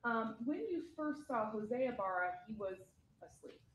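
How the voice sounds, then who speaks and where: A woman's voice: on the high side, animated, unhurried, a little hiss or hum. A woman attorney cross-examining a witness in a courtroom, asking pointed leading questions with frequent ums. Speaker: defense attorney. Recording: echoey courtroom audio.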